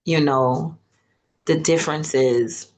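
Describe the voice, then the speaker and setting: A woman's voice: low voice, steady tone, slow pace, a little mellow. A woman taking part in a training session, offering her own opinion tentatively and hedging it. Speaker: training participant.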